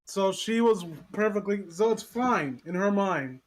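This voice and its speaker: A man's voice: sits high, animated, unhurried. A man co-hosting a casual chat in a blunt, incredulous way with plenty of swearing. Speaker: podcast co-host.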